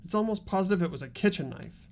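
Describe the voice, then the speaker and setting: A woman's voice: medium pitch, lively intonation, fast talker, a little mellow, lightly acted. A woman voicing an earnest, determined teenage student in an amateur video game dub. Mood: insistent.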